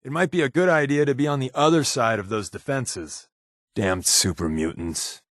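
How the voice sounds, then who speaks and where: An actor playing a sardonic, joking spy companion in a wasteland video game, with a dry, deadpan delivery. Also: male voice, mid-range voice, moderate pace, no echo, lightly acted.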